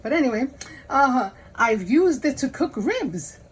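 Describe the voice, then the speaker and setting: A woman's voice: high-pitched, big pitch swings, a little slow, slightly rough. Chatty Puerto Rican woman in her fifties. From Puerto Rico. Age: 50s. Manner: chatty, self-deprecating, laughs often. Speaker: cooking channel host. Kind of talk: casual cooking vlog. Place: home kitchen.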